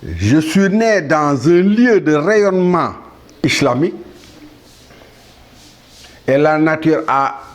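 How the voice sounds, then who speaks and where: Slow, measured older Senegalese-born man. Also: a little high. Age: 70s. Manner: measured, halting, with pauses and restarts. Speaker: speaker addressing the world. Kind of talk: slow solemn monologue.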